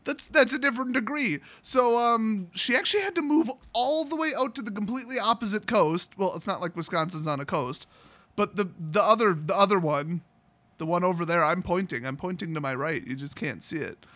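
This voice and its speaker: A man's voice: high voice, lively intonation, a little fast, pushed and bright. A man hosting a casual comedic storytelling video, rambling and exclamatory in an amused way. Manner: amused, rambling, exclamatory, prone to breaking into laughter. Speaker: YouTube host.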